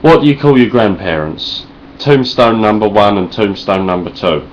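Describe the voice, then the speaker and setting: Deep-voiced sweary Cockney Essex man. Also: normal speed, muffled recording, slight background noise. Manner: deadpan, sarcastic, rambling, heavy swearing. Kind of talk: sweary deadpan vlog response.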